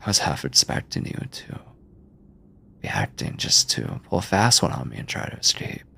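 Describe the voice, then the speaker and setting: A man playing an obsessive, controlling mad scientist in an ASMR horror roleplay, speaking deliberately with a breathy, clinical yet doting manner, often near a whisper. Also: low voice, big pitch swings, pushed and bright, exaggerated voice. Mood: puzzled, suspicious. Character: obsessive yandere mad scientist, soft-spoken, controlling male captor.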